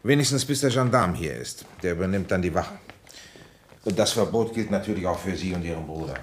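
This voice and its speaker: A very low-pitched male police commissioner in a radio crime drama. He is weary but authoritative, giving orders and telling his case in clipped, matter-of-fact phrases. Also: expressive, brisk, slight background noise. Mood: firm, official. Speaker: detective and first-person narrator. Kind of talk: radio play acting with narration.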